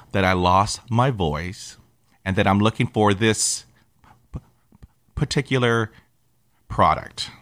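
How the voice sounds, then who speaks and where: A man's voice: on the low side, a bit bright. A man telling personal stories about living with a stutter, laughing often and dropping into whispers, with audible stutters. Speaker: podcast host who stutters. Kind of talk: personal storytelling podcast.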